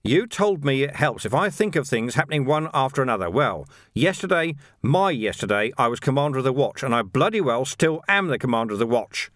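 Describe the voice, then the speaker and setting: A man voicing a gruff, impatient city watch commander in an audiobook, blunt and demanding. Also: mid-range voice, very animated, brisk, hardly any pauses, fairly bright tone, no echo, clean studio sound. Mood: angry, insistent. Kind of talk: audiobook character dialogue.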